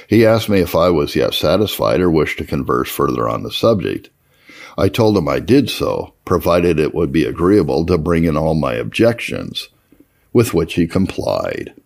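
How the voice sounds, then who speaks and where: Deep, gravelly man reading aloud. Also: darkish tone. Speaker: audiobook-style reader of historical religious texts. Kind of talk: scripted narration, reading an old first-person memoir aloud.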